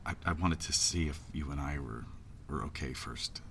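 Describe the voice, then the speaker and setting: Very deep, gravelly, evasive man. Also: normal speed, fairly bright tone, slight background noise, heavily acted. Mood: nervous, apologetic. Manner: hesitant, stammering, low-key. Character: evasive, sheepish middle-aged actor hiding things from his lover. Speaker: actor in an audio drama. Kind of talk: naturalistic satirical audio drama acting.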